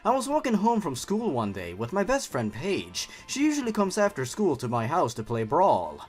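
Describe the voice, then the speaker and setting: A man with a higher-pitched voice reads a story in a hugely animated way, swinging up and down in pitch. His delivery is theatrical and sarcastic, moving between dramatic narration and mocking commentary. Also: moderate pace, slightly rough, faint music behind. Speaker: host reading and mocking a fan horror story.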